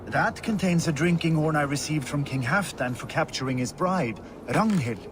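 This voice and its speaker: An actor playing an elderly, weary and proud Norse warrior who is ready to die, in a video game. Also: male voice, a little high, normal speed, noisy background, close to an everyday voice. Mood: proud reminiscing. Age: older. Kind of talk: video game dialogue acting.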